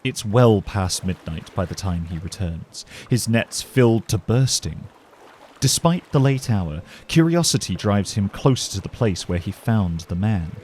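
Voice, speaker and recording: A man narrating a scripted fantasy story in a measured, dramatic storytelling manner. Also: lowish voice, darkish tone, a little hiss or hum. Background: music.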